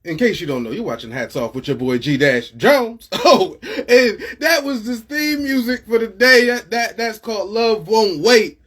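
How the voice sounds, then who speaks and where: A man's voice: high-pitched, normal speed, hardly any pauses, a bit bright, husky. A man hosting an interview show in a casual, hyped way, using slang and repeating words for emphasis. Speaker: show host.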